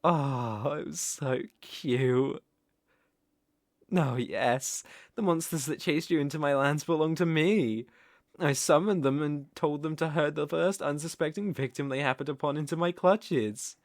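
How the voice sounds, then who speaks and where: Man playing a slow, smug British sorcerer. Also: on the high side, dry recording, studio quality. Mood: gloating. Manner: cooing baby talk mixed with smug menace. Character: gloating, doting, cruel male sorcerer captor. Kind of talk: ASMR roleplay acting.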